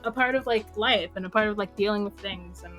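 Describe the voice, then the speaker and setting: A woman's voice: mid-range voice, a little fast, a bit bright, faint music behind. A young woman co-hosting a podcast in casual chat, telling a personal story expressively and acting out her own reactions. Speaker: podcast co-host.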